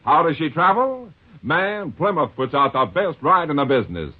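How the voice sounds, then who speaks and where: A man's voice: on the high side, sing-song, normal speed. A man reading an upbeat 1950s radio car commercial. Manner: breezy, upbeat. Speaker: commercial pitchman. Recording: phone-like 1950s radio broadcast.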